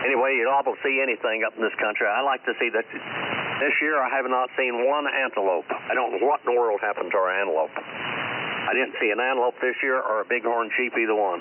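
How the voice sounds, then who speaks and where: An American man tells rambling, folksy stories over ham radio in an average-pitched voice. The signal is very narrow and tinny, with clear hiss over it. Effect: radio transmission. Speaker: amateur radio operator.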